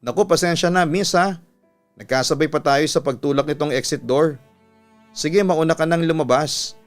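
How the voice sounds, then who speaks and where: A man's voice: fairly high pitch, moderate pace, faint music behind, natural voice. A man with a Filipino accent voicing a courteous, flirtatious man in his thirties in a story. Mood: apologetic.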